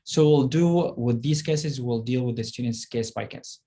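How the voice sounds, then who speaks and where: A male professor walks through course details for students. He hesitates often and searches for his words as he goes. His voice sits at an average pitch. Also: normal speed, dark tone. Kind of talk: explaining program details.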